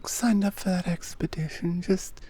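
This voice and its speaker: A woman playing a regal, centuries-old Amazon queen in an ASMR roleplay, speaking softly and formally with deliberate pauses. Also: lowish voice, slightly rough. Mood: grave, reflective. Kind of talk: ASMR roleplay audio drama, addressed to the listener.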